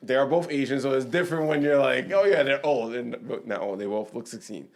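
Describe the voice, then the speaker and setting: Laid-back male podcast co-host. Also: mid-range voice. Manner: halting, restarts and trailing off. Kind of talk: casual chat.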